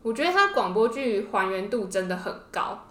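A woman's voice: medium pitch, sing-song, fairly quick, a bit bright. Taiwanese mandarin girl podcasting, super gushy fan chat, mid voice, echoey room. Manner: gushing fangirl enthusiasm. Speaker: podcast co-host. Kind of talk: casual enthusiastic chat. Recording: roomy indoor echo.

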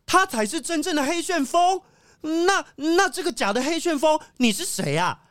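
A woman's voice: high-pitched, animated, moderate pace, a bit bright, dry recording, studio quality. A woman with a Taiwanese accent voicing an earnest, easily baffled wolfdog sheriff who exclaims a lot in a children's audio drama. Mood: astonished.

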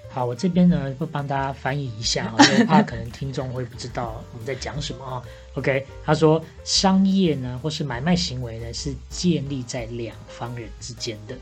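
Woman, extremely deep voice, Taiwanese accent, podcast host, casual advice chat, faint music behind. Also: normal speed, few pauses. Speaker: podcast host playing bartender and advisor.

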